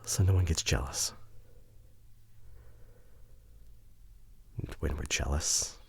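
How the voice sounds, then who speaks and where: A man playing a remorseful, devoted boyfriend in an intimate ASMR audio drama, with a breathy voice that often drops toward a whisper and an earnest, halting delivery. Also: rumbling low, full character voice. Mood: earnest, resolute. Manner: emotional, earnest, halting with sighs. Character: remorseful, devoted boyfriend pleading to repair relationship.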